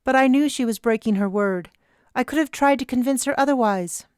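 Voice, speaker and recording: A woman's voice: sits high, a little fast, a little mellow, clean tone, no echo, studio quality, voice pushed up, natural voice. A woman reading aloud, voicing a beaked alien woman who hisses her words defensively. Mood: regretful. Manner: hissing. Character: beaked alien female, old friend, defensive.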